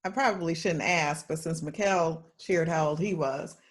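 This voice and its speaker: A woman's voice: deep voice, normal speed. A woman hosting a podcast interview, asking questions and affirming her guests.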